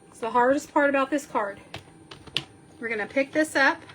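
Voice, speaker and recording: A woman sniffling a little as she slowly talks through a card-making tutorial, counting measurements aloud while she works. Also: high voice, fairly bright tone, a little hiss or hum. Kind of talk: step-by-step crafting tutorial.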